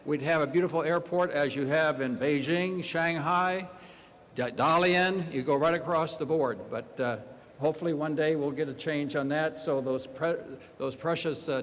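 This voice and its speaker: A man's voice: on the high side, fairly bright tone, long reverb tail, a little hiss or hum. A man speaking as a county official at a public ceremony, formal with some restarts.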